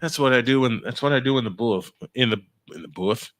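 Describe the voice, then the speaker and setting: A man's voice: mid-range voice, fairly quick, dry recording. A man doing live baseball play-by-play on a stream, mixing in casual chat and rambling as he thinks aloud.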